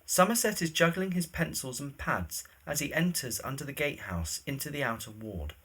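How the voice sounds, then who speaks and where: A man's voice: fairly high pitch, lively intonation, moderate pace, fairly bright tone, breathy edge. A man giving scripted narration of a story, setting each scene in the present tense.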